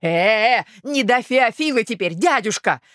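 A woman voicing an anxious young gentleman, an earnest and insistent nephew, in a literary audiobook. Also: a little high, animated, unhurried, no echo, clean studio sound.

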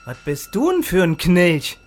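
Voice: medium pitch, wildly swooping pitch, a little slow, slight background noise. Brash, swooping Berlin car renter. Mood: gruff, taunting. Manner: gruff, slangy, taunting. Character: brash, streetwise West Berlin car rental owner. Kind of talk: radio crime drama dialogue.

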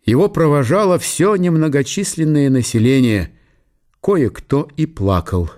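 A man reading a literary historical story as a radio narrator, in measured short phrases. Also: fairly high pitch, animated, darkish tone. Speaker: narrator of a radio audio play.

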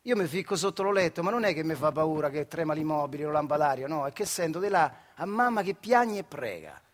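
A man's voice: on the high side, animated, a little fast. A male actor with a Marche accent from central Italy playing Adriano, an earthy, wry factory worker who tells his memories in a colloquial theatrical monologue. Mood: nostalgic. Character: Adriano, working-class old factory man telling his childhood memories. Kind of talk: theatrical monologue storytelling in dialect-tinged speech.

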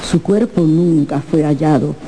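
An actress playing a very old, solemn castle housekeeper in a radio drama, formal, deferential and ominous. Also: female voice, low-pitched, a little slow, dark tone, a little hiss or hum, low-quality recording. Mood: grave, ominous.

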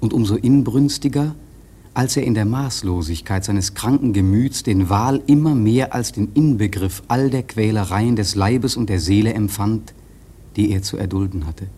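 A man's voice: fairly low pitch, mellow, breathy edge. A man giving solemn, brooding, rhetorical literary narration in a radio play.

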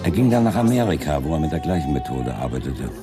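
A seasoned chief inspector with an extremely low voice. He talks with dry, deliberate authority, like someone used to command. It is a crime radio play with clear music running behind the dialogue. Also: very animated. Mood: matter-of-fact. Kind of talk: 1980s German crime radio drama acting.

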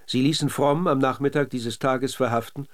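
Man, narrator, scripted documentary narration, factual, measured, mid-range voice. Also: mid-range voice, fairly even intonation.

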